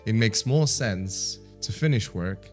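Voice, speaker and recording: Deep-voiced East African man, chatting casually. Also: expressive, slow, darkish tone, faint music behind. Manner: reflective, talkative, peppers English with some Swahili. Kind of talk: casual conversation.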